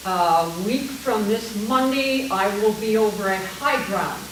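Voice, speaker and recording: A woman in her seventies with a big voice, a retired teacher telling a small group about herself in a lively, joking way. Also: mid-range voice, unhurried. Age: 70s. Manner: lively, chatty, jokey storyteller. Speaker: guest speaker, art teacher. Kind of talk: casual talk to a small group. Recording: echoey distant room sound with hiss.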